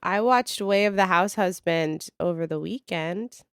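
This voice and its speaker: A woman co-hosting a casual podcast, excitable and quick to laugh. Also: lowish voice, smooth, no echo, studio quality. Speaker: podcast co-host.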